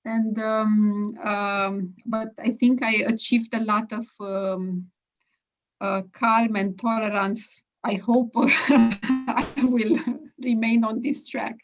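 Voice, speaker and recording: A woman from Romania with a Romanian accent, introducing herself on a video call, halting with many fillers. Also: on the high side, flat delivery, soft background music. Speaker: brokerage and marketing staff. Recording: dull lo-fi call audio.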